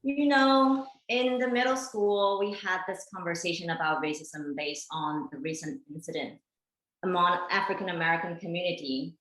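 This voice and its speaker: A woman's voice: on the low side, fairly even intonation, moderate pace, a bit bright, close to an everyday voice. A woman playing an earnest, reflective woman in a conversational documentary theater monologue, recorded in a roomy space.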